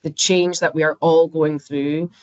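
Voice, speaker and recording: A woman's voice: sits low, little pitch movement, unhurried, no echo, dull audio. Scottish woman, dry close podcast sound. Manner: chatty, laughs often, uses fillers. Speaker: banking expert guest. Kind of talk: conversational podcast interview.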